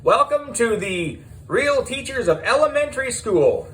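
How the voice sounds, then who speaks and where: Storybook female presenter man acts her out: brash, breezy, announcer-like, highly animated. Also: measured pace, exaggerated voice, poor audio. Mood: announcing.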